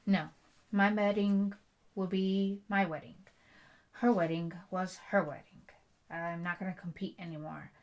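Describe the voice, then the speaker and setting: A woman's voice: on the low side, fairly even intonation, unhurried, darkish tone. A woman playing a stubborn bride-to-be in an intimate ASMR roleplay, talking in a blunt, emphatic, rambling storyteller's way. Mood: firm. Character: headstrong, stubborn bride-to-be, werewolf prince's future wife.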